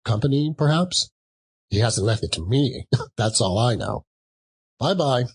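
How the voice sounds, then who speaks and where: Man doing a flippant, husky Victorian businessman. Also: medium pitch, very animated, measured pace, dry recording, voice pushed up, full character voice. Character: indifferent, flippant large-chinned Victorian businessman. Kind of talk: theatrical Victorian storybook reading.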